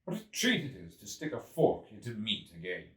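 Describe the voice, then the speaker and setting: A man voicing a worn-out, earnest and insistent Victorian gentleman inventor in an audiobook, speaking emphatically. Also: fairly low pitch, wildly swooping pitch, moderate pace. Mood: hungry relief. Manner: emphatic, weary, declarative. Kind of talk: audiobook character voice. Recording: roomy echo, distant from mic.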